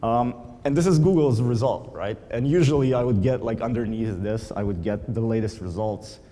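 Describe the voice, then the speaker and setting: A man's voice: mid-range voice, moderate pace, dark tone. A man whose first language is Slovenian, giving a conference talk and explaining with frequent ums. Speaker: conference speaker. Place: large conference hall. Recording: reverberant hall.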